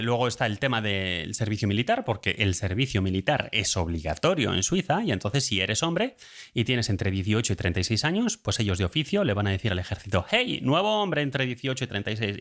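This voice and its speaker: Man, accent from Spain, low voice, informal explainer host who talks conversationally and feels his way through each point. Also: a bit bright. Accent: Spanish from Spain. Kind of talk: casual informal explainer monologue.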